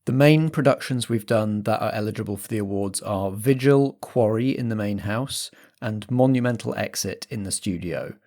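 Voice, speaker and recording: A man's voice: fairly low pitch, moderate pace, hardly any pauses, a little mellow. A man hosting as a podcast compere, giving chatty announcements with a theatrical, familiar way of addressing listeners.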